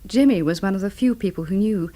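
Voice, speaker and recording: A woman's voice: medium pitch, quick pace, dark tone, lightly acted. An actress playing a frank, good-natured, motherly middle-aged village widow in a radio drama, homely and forthright. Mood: frank, reflective.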